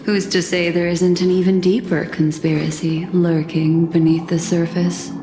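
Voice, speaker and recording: A woman voicing a poised, mysterious keeper of memories in a video game, speaking in a measured, enigmatic way. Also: on the low side, little pitch movement, lightly acted. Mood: cool, knowing. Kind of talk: dramatic video game voice acting. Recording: echoey reverb, music underneath. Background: game music.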